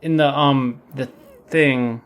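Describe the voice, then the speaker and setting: An actor playing a dim, easygoing, reckless younger-brother stunt coordinator with a slangy slacker drawl, in a comedy audio drama. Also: male voice, mid-range voice, fairly even intonation, takes it slowly, lightly acted. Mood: breezy, oblivious.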